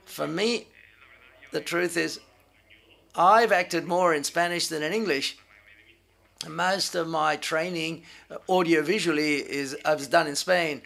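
A man interpreting live, delivering phrases in halting pieces as he renders other people's remarks. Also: fairly high pitch, gravelly, fairly bright tone.

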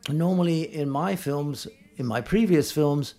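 A man interpreting live at a film press conference, speaking in halting, piecemeal phrases and often correcting himself. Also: fairly high pitch, warm tone.

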